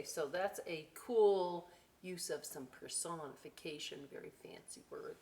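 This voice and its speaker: A woman reading aloud to children as a story time host, expressive and drawn out, with chatty conversational asides. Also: lowish voice. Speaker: library story time reader.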